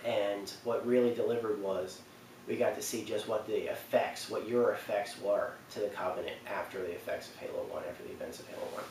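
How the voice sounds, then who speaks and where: A man's voice: fairly low pitch, fairly even intonation, brisk, a little mellow. Male countdown host, informal unscripted delivery, roomy echo, slight background noise, mic at a distance. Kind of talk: casual unscripted commentary. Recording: echoey room, distant mic, faint noise.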